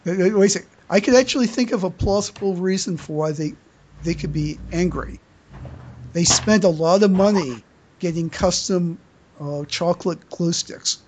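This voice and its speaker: A man hosting a casual podcast news segment, with a husky voice and a halting delivery that repeats words. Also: high voice, darkish tone, a little hiss or hum. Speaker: podcast host reading news items.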